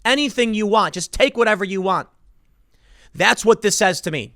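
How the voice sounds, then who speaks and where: Higher-pitched man, emphatic political commentary. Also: lively intonation, moderate pace, sharp tone, no echo, studio quality. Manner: emphatic, indignant, repeats phrases for stress. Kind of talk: impassioned solo political commentary.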